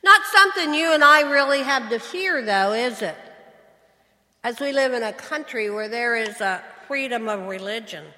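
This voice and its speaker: A woman's voice: a little high, animated, normal speed, pushed and bright. A woman pastor preaching a sermon in a church, earnest and asking her listeners questions. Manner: earnest, asks the congregation questions. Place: church sanctuary. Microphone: church microphone, switching mics. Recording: long church reverb.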